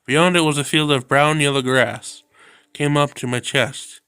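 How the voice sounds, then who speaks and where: A man reads a visual novel aloud in a smooth, resonant voice. His telling stays subdued and steady. The sound is close and dry. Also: a little high, unhurried, no room sound. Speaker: video game narrator.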